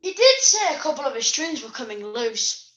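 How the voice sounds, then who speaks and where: A woman's voice: high-pitched, big pitch swings, moderate pace, a bit bright. A young woman acting an earnest, worried puppet committee member in an amateur puppet show.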